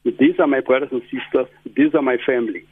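A man's voice: medium pitch, fairly even intonation, fast talker, husky, no echo. A man commenting on a radio talk show in an earnest, preaching tone. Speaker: studio guest commentator. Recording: tinny phone-like line.

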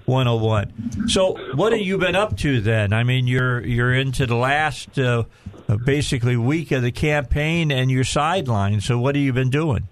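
An older man hosts a talk radio show from his studio. He chats in a folksy way and teases his guest with jokes. His pitch sits in a middle range for a man. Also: expressive, normal speed. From Arkansas. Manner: folksy, chatty and joking. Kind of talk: casual radio interview.

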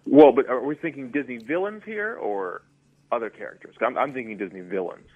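A man's voice: mid-range voice, animated, a little fast, narrow, tinny audio. A man in a casual chat, playful in his banter.

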